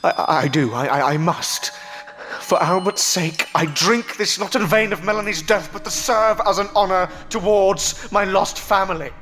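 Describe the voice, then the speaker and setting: A fairly high-pitched male hero, acted by a man, loyal and burdened by grief. He speaks earnestly and solemnly in the manner of an audio horror drama, with music playing behind. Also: moderate pace, a bit bright. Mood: solemn farewell. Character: grieving, self-sacrificing, loyal man defying a monster.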